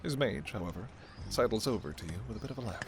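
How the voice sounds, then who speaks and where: A man's voice: on the low side, lively intonation, fast, noisy background. A man delivering scripted second-person narration for a fantasy story, as its narrator. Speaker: narrator and voice performer.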